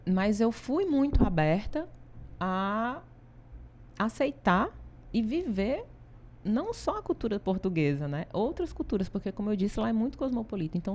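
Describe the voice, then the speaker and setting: A woman from Ceará, Brazil, speaking with a Northeastern Brazilian accent as an interview guest, telling stories in an animated way, laughing often and asking "né?" a lot. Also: mid-range voice. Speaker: interview guest, consultancy founder. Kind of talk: casual interview talk.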